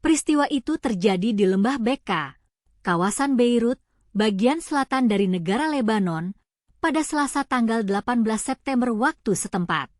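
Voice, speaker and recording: A woman's voice: fairly high pitch, lively intonation, normal speed, darkish tone, no echo, clean studio sound. A woman narrating scripted news reports as a news narrator.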